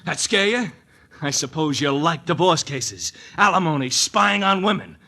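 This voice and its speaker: Jittery young American heir, highish voice, stammering, pleading, overwrought, old radio melodrama. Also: male voice, big pitch swings, moderate pace, creaky, pushed and bright, full character voice. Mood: desperate, agitated. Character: jittery, desperate, dissipated rich young man, fearing death. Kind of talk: 1940s radio melodrama acting. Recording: vintage 1940s radio recording.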